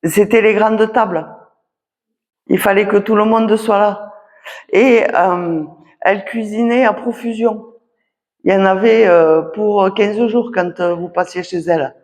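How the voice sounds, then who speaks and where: A woman magnetiser giving readings at a public talk, speaking haltingly and often pausing on short confirmations. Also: medium pitch, fairly even intonation, darkish tone. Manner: halting, punctuates phrases with "voilà" and "d'accord". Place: association conference hall. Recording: long hall reverb.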